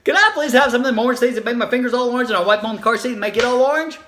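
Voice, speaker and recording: A woman's voice: on the high side, fairly bright tone, a little hiss or hum, pitched above the performer's own voice, close to an everyday voice. A woman voicing a whiny little girl who drags out her pleas for treats. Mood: pleading. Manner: pleading, drawn-out begging.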